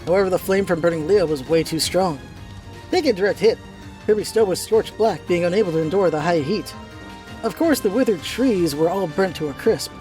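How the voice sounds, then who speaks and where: Mellow-voiced woman narrating a story. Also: on the low side, fairly quick, soft background music, rough recording. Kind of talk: scripted storybook narration for a radio play.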